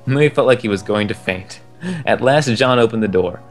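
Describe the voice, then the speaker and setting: A young man reading a children's book aloud in a relaxed way, chuckling now and then at the text. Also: fairly low pitch, moderate pace. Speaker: storyteller. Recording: soft game music behind the voice.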